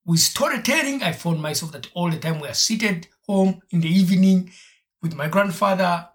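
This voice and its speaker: A podcast host from Uganda, gently polite and encouraging while interviewing a guest and sharing memories. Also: mid-range voice, normal speed.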